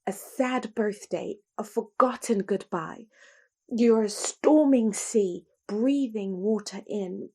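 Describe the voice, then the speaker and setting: A woman performs a poetic monologue in a husky voice edged with creak. She takes her time over each phrase, placing the words deliberately and with emphasis. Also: fairly high pitch, warm tone.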